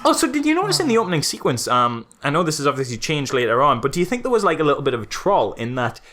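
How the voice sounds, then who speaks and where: A man's voice: a little high, big pitch swings, very fast, a bit bright. A man co-hosting a podcast, casually critiquing a film in a sarcastic way. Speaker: podcast co-host.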